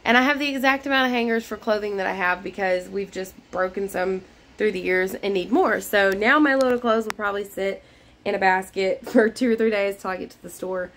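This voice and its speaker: A woman's voice: medium pitch, a little fast, fairly bright tone, slight background noise. A woman in her thirties talks straight into a phone held at arm's length in a small bedroom. She rambles in an easy, chatty way with plenty of fillers. Her voice is worn and fading from a recent illness. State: losing her voice after being sick. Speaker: vlogger. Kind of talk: casual cleaning vlog chat. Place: small bedroom in a mobile home.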